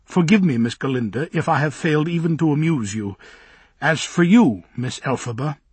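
A man's voice: on the high side, animated, normal speed, darkish tone, husky, no room sound, pitched above the performer's own voice. Man doing an earnest, formal young suitor. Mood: wounded. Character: earnest, stiff young male student.

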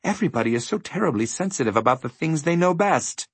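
A man's voice: on the high side, animated, fast talker, husky, dry recording, voice pushed up. A man voicing a thoughtful young boy in a storybook, earnest and questioning. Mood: earnest, doubtful.

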